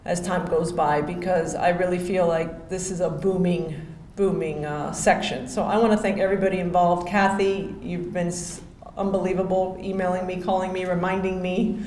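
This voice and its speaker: Fortyish woman at a podium mic, chatty thank-you speech, kinda low voice, big echoey ballroom. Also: steady tone, normal speed. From Miami, Florida. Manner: chatty, warm thank-yous with many fillers and restarts. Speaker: hall of fame inductee. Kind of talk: acceptance speech. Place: hotel ballroom, on stage at a podium. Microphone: podium microphone. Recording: echoey ballroom with faint hum.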